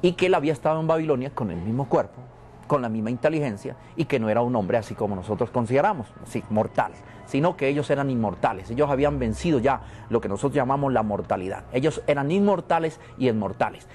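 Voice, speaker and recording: A man's voice: medium pitch, lively intonation, quick pace, darkish tone. A man from Venezuela, interviewed about prophecy, speaking in a solemn, repetitive, preaching cadence. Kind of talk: spiritual and prophetic talk.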